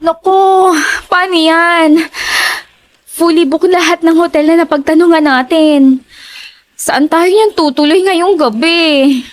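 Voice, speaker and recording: A woman's voice: extremely high pitch, slow, long pauses. A woman playing a young, fretful and inquisitive travelling companion in conversational audio horror drama dialogue. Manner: inquisitive, everyday conversational delivery.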